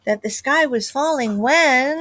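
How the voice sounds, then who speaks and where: A woman's voice: sits high, a little slow, fairly bright tone, no echo. A middle-aged woman, a teacher telling a picture-book story expressively to children. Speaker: teacher reading aloud to children. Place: classroom, indoors.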